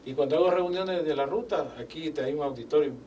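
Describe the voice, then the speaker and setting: Older man, storytelling guest, animated, heard from a distance. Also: a little high, quick pace. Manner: animated, acts out quoted dialogue, religious phrasing. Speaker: guest, business owner. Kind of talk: casual interview storytelling. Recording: distant mic with faint background noise.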